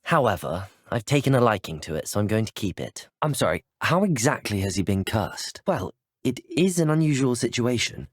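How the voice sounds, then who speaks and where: Lively, well-spoken young British schoolboy wizard. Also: male voice, lowish voice, normal speed, hardly any pauses, husky, no room sound, clean studio sound. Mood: polite, assured. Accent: British English. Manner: courteous, well-spoken, gently wry. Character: polite, curious, self-assured teenage wizard student hero. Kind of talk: video game character voice acting.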